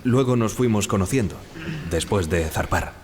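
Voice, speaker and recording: An actor playing a respectful young ship's radio operator giving formal testimony in an audio drama, over a noisy background. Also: male voice, fairly low pitch, lively intonation, moderate pace, close to an everyday voice. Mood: formal, restrained.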